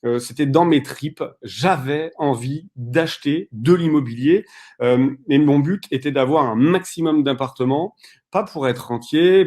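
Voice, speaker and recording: A man in his thirties co-hosting an online conference, telling his story in a direct, animated way with frequent fillers. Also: medium pitch. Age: 30s. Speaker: real estate investor co-hosting a webinar.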